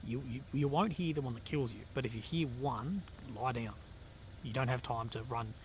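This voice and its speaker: A man's voice: medium pitch, normal speed, darkish tone. A man hosting a casual live stream, reading out chat questions and answering bluntly, with frequent fillers and the odd swear word. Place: outdoors in a conflict zone. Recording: noisy outdoor live stream.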